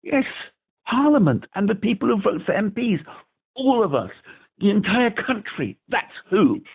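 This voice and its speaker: Gravelly British man on a phone line. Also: sits high, very animated, normal speed. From Britain. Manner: rambling, agitated, repeats himself. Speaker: caller. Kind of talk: phone call to a helpline. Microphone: telephone.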